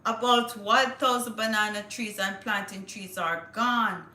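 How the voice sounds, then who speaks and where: A woman's voice: medium pitch, steady tone, measured pace, bright, forceful tone, reverberant. A woman hosting a casual livestream, chatting to viewers and reading news aloud with small stumbles. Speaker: livestream host.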